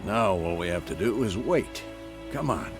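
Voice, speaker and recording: A gravelly old monster-hunting mentor. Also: male voice, deep voice, animated, moderate pace. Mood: wry. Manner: dry, teasing, matter-of-fact. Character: grizzled older male monster-hunter, wry teasing mentor. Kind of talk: video game voice acting. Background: game music.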